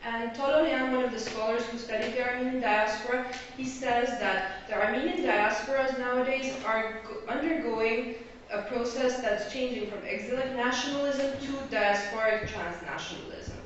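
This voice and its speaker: A woman from Egypt presents graduate research in an explanatory tone, often pausing to check that her listeners follow. Her fairly high voice comes from far off in a strongly echoing room. The sound is dull and lo-fi, with a faint hiss behind it. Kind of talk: academic presentation. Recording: echoey hall, distant lo-fi mic.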